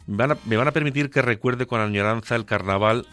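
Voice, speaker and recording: A man's voice: medium pitch, fast, a bit bright. A man with a central Castilian Spanish accent delivering an impassioned, declamatory radio monologue, rhetorical and emphatic. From La Mancha, central Spain. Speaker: radio contributor. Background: music under the voice.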